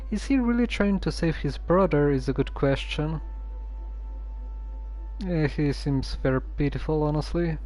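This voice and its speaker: A streamer casually commenting on a story game with a hesitant, musing, deadpan way of talking. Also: medium pitch, a little slow, pauses often, faint music behind.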